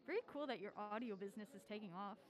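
A woman's voice: mid-range voice, lively intonation, rapid-fire, lightly acted. An actress playing a mild-mannered film-obsessed woman with dry, wry banter in a naturalistic audio drama. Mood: keen, mild.

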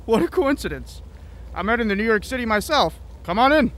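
A chatty older motorist for an audio drama. His voice sits very high, and he talks in a courteous, old-fashioned, folksy way that flusters easily. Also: expressive, a little fast, a bit bright. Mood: cheerful, curious.

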